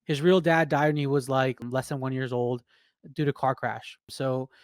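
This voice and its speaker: A young man from Peru shares his family story on a casual podcast, loose and unhurried, leaning on fillers as he goes. His voice is smooth and resonant at an average pitch, and the recording is dry and close, with no room sound. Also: little pitch movement, no echo. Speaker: podcast co-host.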